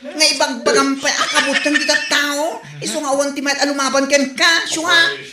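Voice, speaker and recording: A woman playing a loud, mocking, gossipy neighbour in an Ilocano comedy audio drama, taunting with glee. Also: high-pitched, level tone, a little fast, crowd noise, close to an everyday voice. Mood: gleeful mocking. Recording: echoey room.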